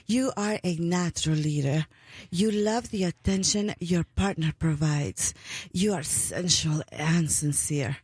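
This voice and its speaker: A woman's voice: lowish voice, measured pace, darkish tone, dry recording. Woman playing a sultry all-knowing astrologer. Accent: Spanish. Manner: breathy, teasing, whispers sultry words.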